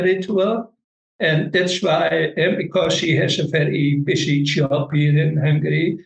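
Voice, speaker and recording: A middle-aged Dutch man with a Dutch accent, a Vedic meditation teacher speaking halting, non-native English in a casual interview. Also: fairly high pitch, gravelly, echoey room, highs cut off. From Netherlands.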